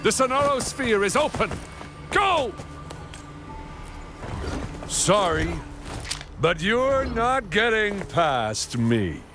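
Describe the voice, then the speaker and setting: A man's voice: high voice, big pitch swings, very slow, long pauses, over music, exaggerated voice. An actor playing a smug, menacing male villain in a video game, taunting in a mocking, dramatic way. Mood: gloating, excited. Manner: mocking, dramatic, swooping delivery.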